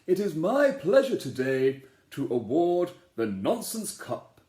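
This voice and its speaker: A man voicing a grand male king who makes ceremonious, loud announcements to a crowd. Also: a little high, very animated, takes it slowly, pitched above the performer's own voice. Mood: proclaiming. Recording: echoey room.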